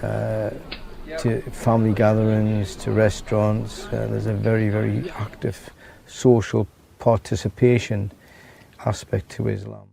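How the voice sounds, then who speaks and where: A man speaking in a measured interview, pausing often with fillers. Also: fairly low pitch, monotone, vocal fry, crowd noise. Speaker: writer and convert. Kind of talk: interview testimony.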